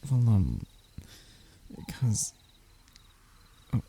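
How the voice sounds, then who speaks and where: Flustered, lovestruck royal captive played by a man, roleplay audio: slow, flowery and pleading, with a gravelly creak. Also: on the low side, sing-song, mellow, a little hiss or hum, heavily acted. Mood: flustered, shy. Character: young human royal heir, flustered, stubborn, scheming, lovestruck captive. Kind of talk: audio roleplay acting, addressing the listener closely.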